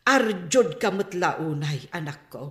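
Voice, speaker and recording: A woman's voice: fairly low pitch, animated, a little slow, reverberant. An actress with an Ilocano Filipino accent playing an exasperated, scolding older mother in a radio drama. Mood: scolding, weary.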